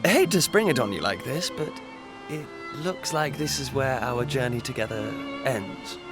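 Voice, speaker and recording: An actor playing an earnest, spirited young runaway prince turned guardian, in an expressive video game performance. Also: male voice, medium pitch, normal speed, lightly acted. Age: young adult. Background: orchestral music.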